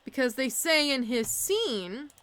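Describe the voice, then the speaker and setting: Slow, thoughtful young woman playing a game. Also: sits high, big pitch swings, a bit bright. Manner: thinking aloud, working through guesses. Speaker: let's play streamer. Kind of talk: casual gameplay commentary.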